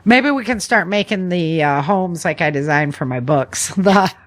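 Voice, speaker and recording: A woman's voice: lowish voice, animated, normal speed, fairly bright tone. An American woman hosting a podcast, running through news in a chatty, informal way with slangy casual commentary. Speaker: podcast host.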